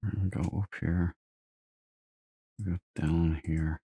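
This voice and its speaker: A man's voice: deep voice, level tone, slow pace, warm tone, slightly rough, no room sound. A man giving casual let's play commentary, muttering and thinking aloud as he goes. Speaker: gamer.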